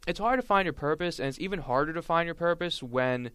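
A young man talks through his ideas in long, searching sentences, hosting a relaxed conversation with a friend. His voice is smooth and resonant, recorded close and dry with no room sound around it. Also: a little high, quick pace, dry recording. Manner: earnest, reflective, speaks in long winding sentences. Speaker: podcast host. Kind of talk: casual chat.

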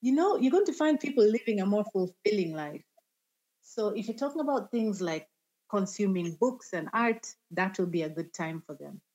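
A woman's voice: medium pitch, animated, moderate pace, a little mellow, highs cut off. A woman guest in a casual podcast interview, talking animatedly and laughing easily.

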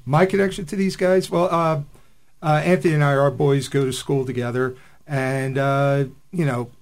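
A man's voice: mid-range voice, steady tone, moderate pace. A man in his fifties chatting casually as a podcast co-host on the radio. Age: 50s. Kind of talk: casual chat. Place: radio studio.

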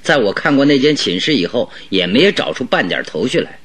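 A man playing a shrewd, composed master detective in a radio drama, explaining with deliberate authority. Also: mid-range voice, big pitch swings, a bit bright, slightly rough. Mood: explaining, assured.

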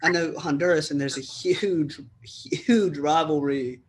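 A man's voice: a little high, steady tone, unhurried. A man from the United States interviewing a footballer, asking long, rambling questions in a chatty way.

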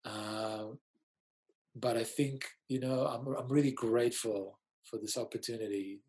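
A man's voice: medium pitch, steady tone, measured pace. A man, a musician guest talking in a casual interview and explaining things thoughtfully. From Israel. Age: 40s. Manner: thoughtful, explains gently with many ums.

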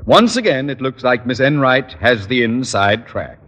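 An actor playing a pompous, exasperated high school principal, speaking formally and emphatically in a 1950s radio sitcom. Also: male voice, big pitch swings, normal speed, a bit bright. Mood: exasperated, stern. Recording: reverberant old radio studio recording.

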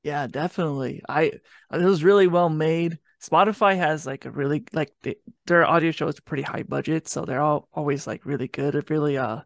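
Higher-voiced man with creaky, dry sound. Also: a little fast, no room sound. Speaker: podcast co-reviewer. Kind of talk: casual review chat.

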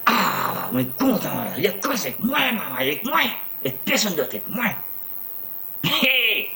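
Man playing an excitable, bright-voiced simple fisherman. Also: sits high, a little slow, creaky, a little hiss or hum, voice pushed up, full character voice. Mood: overjoyed. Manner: excitable, repetitive, colloquial. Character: simple, taciturn fisherman Alain, childishly overjoyed. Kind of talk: audio fiction character acting.

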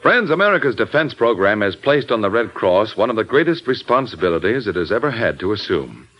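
Earnest radio announcer guy making an appeal, lively pitch, dull old broadcast. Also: a little fast, music in the background. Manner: earnest, formal. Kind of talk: public service appeal.

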